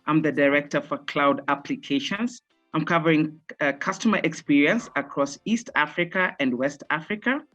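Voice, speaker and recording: A woman from Kenya, answering interview questions in a measured, earnest way with frequent pauses over a video call. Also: sits low, fairly bright tone, lo-fi sound. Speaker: guest, software director.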